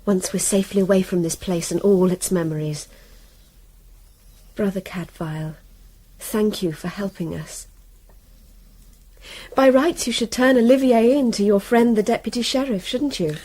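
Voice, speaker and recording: A woman's voice: mid-range voice, a little slow, pauses often, warm tone, natural voice. An actress playing a headstrong, forthright young medieval noblewoman in a radio drama, formal and pointed. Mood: challenging. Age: young adult.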